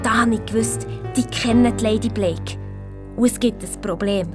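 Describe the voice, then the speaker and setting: A woman's voice: on the high side, moderate pace, music in the background, close to an everyday voice. A woman playing a confident, eager young hotel owners' daughter in a children's audio drama, telling her story with a Swiss German accent. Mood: intrigued, conspiratorial.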